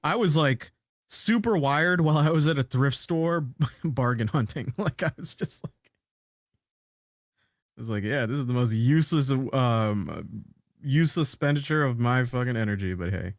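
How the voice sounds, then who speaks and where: A man's voice: medium pitch, expressive, normal speed, dry recording, studio quality. A man chatting casually as a podcast co-host, joking in a wry, slangy way with some swearing.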